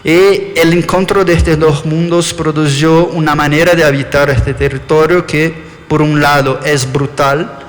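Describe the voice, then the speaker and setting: A man with a Brazilian background and an Argentine-tinged Spanish accent, giving an architecture lecture and often correcting himself mid-word. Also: on the high side. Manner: thoughtful, with frequent self-corrections. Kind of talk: live lecture presentation. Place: lecture hall. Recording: long reverb in a lecture hall.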